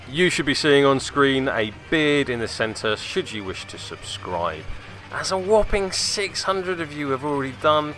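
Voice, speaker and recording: British guy hosting game recaps, bright forceful voice, wry and rambly, bit of music behind him. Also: medium pitch. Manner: wry, self-deprecating, rambling. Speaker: host of a tabletop game battle report channel. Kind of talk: casual scripted-free game recap commentary.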